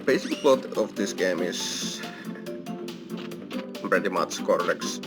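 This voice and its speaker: Slow, low-voiced man commentating a game. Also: animated. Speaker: gaming channel host. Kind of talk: casual let's play commentary. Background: video game music.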